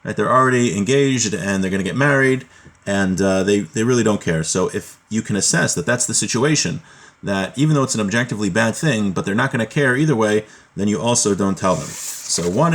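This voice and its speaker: A man's voice: lowish voice, expressive, brisk. A man giving an informal religious law lesson, reading from a text and explaining it in plain, conversational terms. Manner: explanatory, moving between reading a text aloud and plain paraphrase.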